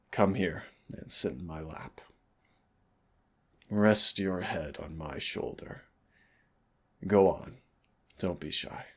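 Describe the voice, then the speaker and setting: Demon prince, played by a man: affectionate, regal, gravelly and slow-spoken, for intimate audio roleplay. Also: sits low, fairly bright tone, heavily acted. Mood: tender, coaxing. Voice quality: husky, gravelly. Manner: tender, unhurried, wistful storytelling. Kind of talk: intimate roleplay audio acting, soft and soothing.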